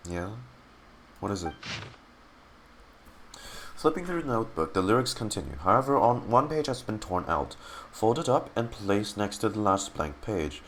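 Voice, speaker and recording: A man who streams games, reading in-game text aloud dramatically and haltingly and muttering his reactions. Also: on the low side, sing-song, a bit bright, slight background noise.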